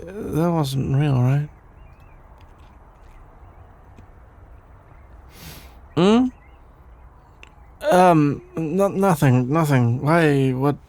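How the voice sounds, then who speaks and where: A man playing a shy, sleepy young best friend in an ASMR roleplay, stammering, hesitant and evasive in a creaky, drowsy voice. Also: a little high, a little mellow, dry recording. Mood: flustered, embarrassed.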